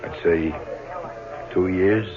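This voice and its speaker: A tired, betrayed man reluctant to kill. His lowish voice is hesitant and defensive. The sound is muffled, like an old radio broadcast. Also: fairly even intonation, slow, over music. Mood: wary. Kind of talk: 1970s radio drama acting.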